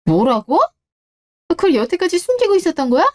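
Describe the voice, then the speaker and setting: A woman's voice: extremely high pitch, very animated, brisk, warm tone. A woman playing a betrayed, cool-headed wife who questions and reproaches in radio-drama dialogue. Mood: shocked, questioning. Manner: cold, interrogating, reproachful.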